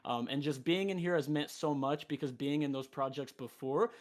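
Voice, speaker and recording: A man's voice: on the high side, fairly even intonation, moderate pace. A man voice actor talking on a video call, earnest and joking, rambling a bit. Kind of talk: casual guest talk.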